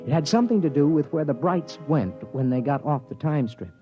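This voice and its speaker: A man's voice: a little high, animated, fairly quick, lightly acted. An actor playing an anxious father and time-travel experimenter in 1950s radio drama style, with an American accent. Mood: brooding, desperate. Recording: vintage radio broadcast, dull, music underneath.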